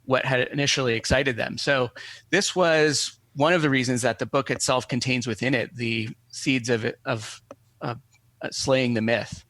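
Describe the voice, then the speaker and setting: A man's voice: mid-range voice, steady tone, normal speed, a bit bright, no echo. Scholarly man in a dry recording. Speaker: academic expert guest. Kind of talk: explanatory interview answers.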